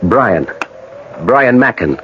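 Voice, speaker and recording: An earnest, determined American traveller in an old radio play. His medium-pitched voice swings widely and dramatically, on a dull vintage recording. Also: big pitch swings, drawn-out words, fairly bright tone, a little hiss or hum, exaggerated voice. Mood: curious. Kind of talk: radio drama acting with first-person narration.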